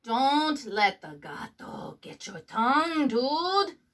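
A woman's voice: sits high, sing-song, takes it slowly, fairly bright tone, full character voice. A woman reading aloud and voicing a bossy male chihuahua leader in a commanding, blustery way. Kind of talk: storybook read-aloud.

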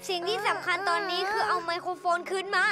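Plucky, very high-voiced child superhero. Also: steady tone, fast talker. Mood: apologetic, earnest. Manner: earnest, exclaiming. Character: earnest, plucky child superhero, caring and brave. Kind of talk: animated cartoon dub acting. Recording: loud music behind the voice. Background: music.

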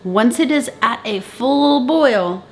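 A woman in her thirties talking to camera in her home kitchen, giving chatty, brisk canning instructions. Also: on the high side, expressive, noisy background. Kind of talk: casual how-to talk to camera. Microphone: camera at close range.

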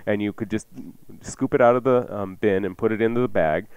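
Casual, jokey man with a lowish voice. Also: a little fast, darkish tone, smooth, no echo, lo-fi sound. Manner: jokey, self-deprecating. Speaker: radio cooking show host. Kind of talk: casual chatty radio cooking banter.

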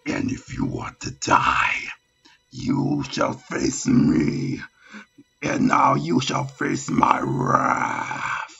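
A man's voice: mid-range voice, wildly swooping pitch, vocal fry, a bit bright, breathy edge, muffled recording, faint music behind, heavily acted. Man doing a whispery, growling alien overlord. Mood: wrathful. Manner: slow, gloating villain delivery. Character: menacing male alien overlord, mocking and domineering. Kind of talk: improvised parody fandub.

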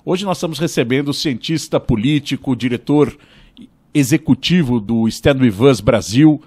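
A middle-aged Brazilian man from São Paulo hosting a podcast, with a voice of ordinary pitch. He opens slowly and warmly, then asks his guest questions. He speaks into a studio mic in a small room. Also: breathy edge. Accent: Brazilian Portuguese, São Paulo. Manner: slow, friendly scripted welcome. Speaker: podcast host. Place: small studio with table and monitor. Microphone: boom-arm studio mic.

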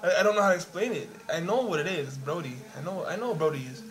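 A man's voice: sits high, lively intonation, fairly bright tone, low-quality recording. A young man from Toronto with a Toronto accent, chatting and teasing a friend in a vlog filmed on a phone in a small bedroom. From Canada. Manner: teasing, joking, talks fast in bursts. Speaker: vlogger. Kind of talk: casual chat with a friend. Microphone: phone camera at arm's length.

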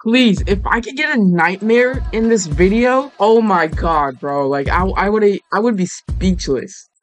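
A raspy young man who just woke up, hosting a casual gaming video and pleading with the game for better luck. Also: high voice, expressive, measured pace. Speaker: gaming channel host.

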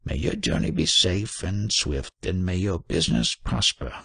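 A man's voice: low-pitched, a little slow, creaky, a bit bright, breathy edge, dry recording, heavily acted. A man voicing an ancient, mild, ailing hermit in a storybook, with a dry, cracked voice and solemn manner. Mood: mild reproach, blessing.

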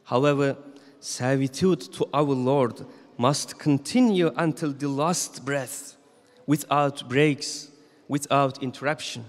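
Man preaching in an echoing mosque. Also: mid-range voice. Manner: measured, solemn reading with slow emphatic phrases. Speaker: imam. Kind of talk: Friday sermon read from notes. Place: large mosque hall. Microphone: stand microphone. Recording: long reverb of a mosque hall.

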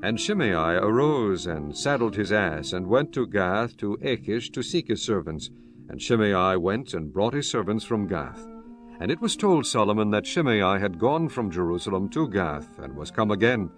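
A man with a fairly low voice narrates scripture as a solemn, formal story. It is a scripted dramatized reading with soft music underneath. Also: big pitch swings, moderate pace. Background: soft background music.

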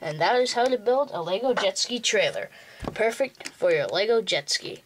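A man's voice: high voice, expressive, slight background noise. A young male host giving a plain, step-by-step walkthrough for building a toy model. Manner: slow, plain instructional walkthrough. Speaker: tutorial host.

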